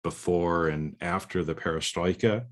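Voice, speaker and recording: A man's voice: deep voice, normal speed. A man from America hosting a podcast interview, talking in a rambling way with many restarts and a habit of ending sentences with "right?". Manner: rambling, repeats words, tags questions with "right?". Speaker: podcast host. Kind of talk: casual interview chat.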